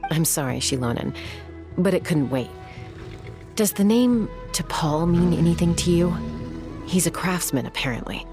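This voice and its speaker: A woman playing a brisk, confident young flyer and gunslinger in a video game, direct and self-assured. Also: lowish voice, expressive, over music. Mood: businesslike.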